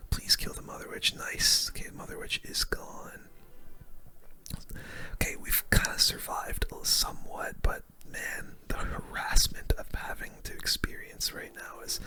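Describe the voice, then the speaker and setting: A gaming video host giving casual, slangy running commentary on play in a breathy ASMR whisper. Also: very high voice, sharp tone.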